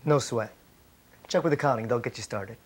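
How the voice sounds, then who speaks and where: Actor as a slick investment manager, noisy old tape. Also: male voice, mid-range voice, normal speed, lightly acted. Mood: smooth, placating. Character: slick, cornered male investment manager, brash and evasive. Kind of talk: acted corporate training-film drama. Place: indoor office.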